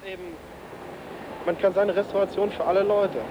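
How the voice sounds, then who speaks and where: Man, slightly high pitch, casual interview chat, dull cassette sound, street noise. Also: steady tone, moderate pace.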